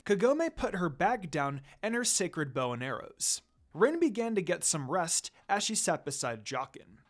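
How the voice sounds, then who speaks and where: A narrator reading a fan fiction story aloud in an expressive amateur storytelling style. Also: medium pitch, measured pace.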